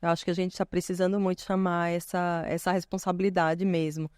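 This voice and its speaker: A woman with a Pernambuco accent speaks in a smooth, clear voice. She explains her points thoughtfully and often tags her phrases with "né". The sound is close and dry. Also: lowish voice, level tone, a little fast, darkish tone, no room sound. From Recife, Pernambuco, Brazil. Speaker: guest. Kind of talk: casual interview chat.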